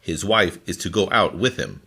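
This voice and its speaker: Low, bright-voiced man reading scripture. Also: very animated, gravelly. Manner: deliberate, phrase-by-phrase delivery. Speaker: scripture reader. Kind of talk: scripted Bible reading in formal translation.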